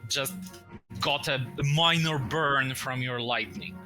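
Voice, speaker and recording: A man's voice: medium pitch, takes it slowly. Male game master narrating over music. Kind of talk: narrating a live tabletop game. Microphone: voice-chat microphone. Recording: music behind, online voice call.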